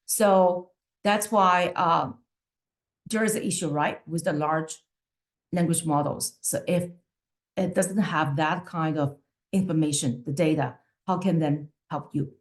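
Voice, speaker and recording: A woman whose first language is not English, giving a teaching presentation over a video call in a conversational way, often checking in with "right?" and pausing on fillers. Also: low voice. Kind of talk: webinar presentation.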